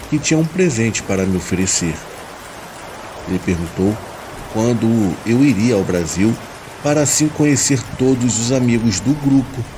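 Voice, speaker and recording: A Brazilian man narrates a supernatural tale in the first person, reading from a script. His voice sits on the low side, and a soft bed of rain runs behind it. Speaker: narrator of listener-submitted horror stories. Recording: steady rain noise behind the voice. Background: rain ambience.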